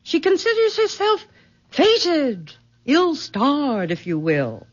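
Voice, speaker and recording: A woman's voice: high-pitched, wildly swooping pitch, takes it slowly, exaggerated voice. An actress playing an imperious, bitter middle-aged mother in a haughty, formal, commanding old-time radio style. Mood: insistent, imperious. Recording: dull, lo-fi vintage radio recording.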